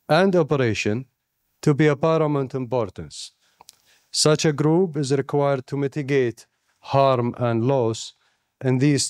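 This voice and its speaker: A man's voice: mid-range voice, darkish tone. Saudi-accented man reading a formal keynote. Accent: Saudi Arabian. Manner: measured, reading from notes with deliberate pauses. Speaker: keynote speaker. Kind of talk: formal prepared speech. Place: conference stage, indoors. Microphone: handheld microphone on a stand close to the mouth.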